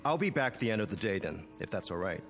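A man's voice: lowish voice, sing-song, fast talker, a bit bright, big-room sound, faint music behind. An actor playing a polite, hesitant young male student who mows lawns, in a TV drama. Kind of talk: 1980s TV drama acting.

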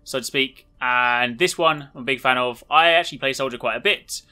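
A man's voice: medium pitch, a little fast, sharp tone. A man giving casual video game commentary as the host of a showcase video.

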